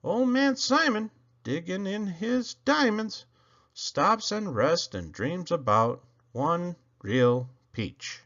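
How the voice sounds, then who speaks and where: A man reading children's poems aloud expressively, with theatrical, playful emphasis and dramatic pauses. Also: high voice, very animated, fairly bright tone, dull audio. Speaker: storybook reader.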